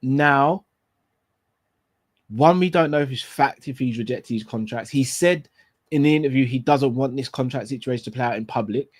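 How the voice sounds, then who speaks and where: Hoarse, raspy man hosting a live fan show. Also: medium pitch, normal speed. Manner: opinionated, picks apart phrasing with repeated rhetorical questions. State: hoarse and tired after illness. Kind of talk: casual live football talk.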